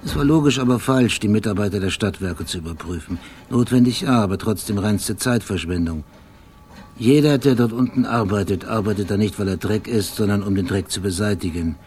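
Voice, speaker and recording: A man's voice: fairly low pitch, fairly quick, darkish tone, natural voice. An actor playing a brooding, obsessive male police detective in a radio drama, weary and haunted, speaking in terse, meticulous phrases. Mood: obsessive, insistent. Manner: terse fragments, meticulous describing. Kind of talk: German radio drama monologue and dialogue. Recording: clearly noisy background.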